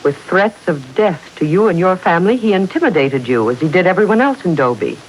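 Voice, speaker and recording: An actress playing a mysterious, commanding woman with formal, deliberate speech in a 1940s radio serial. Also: fairly low pitch, very animated, low-quality recording. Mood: cool, commanding. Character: mysterious, commanding woman who claims controlling powers. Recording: hissy 1940s radio broadcast.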